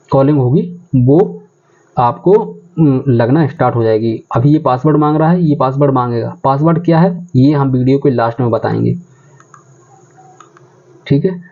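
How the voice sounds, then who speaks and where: A man giving a step-by-step tutorial in an explanatory way, repeating his instructions. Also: medium pitch. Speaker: tech tutorial presenter. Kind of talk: step-by-step phone settings tutorial. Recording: muffled phone-like audio, background chatter.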